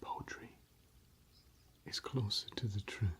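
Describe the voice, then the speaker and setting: A man whispering as a gentle elvish loremaster. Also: slow. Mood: hushed. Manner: soft whispering. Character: gentle male scholar of ancient elvish lore. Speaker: ASMR performer. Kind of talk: ASMR roleplay.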